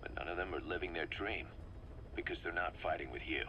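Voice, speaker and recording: Game role: male actor as fervent mercenary deputy. Low, hard-edged, persuasive delivery, slight background noise. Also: brisk, highs cut off, natural voice. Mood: grave, bitter. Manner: persuasive, emphatic, speechifying. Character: fervent, embittered male mercenary second-in-command briefing his boss. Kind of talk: video game dramatic dialogue. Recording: noisy cassette briefing with background rumble.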